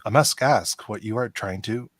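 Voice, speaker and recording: A man playing a polite, curious android officer in an amateur radio play, formal and measured, with a husky voice. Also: fairly low pitch, no room sound, close to an everyday voice.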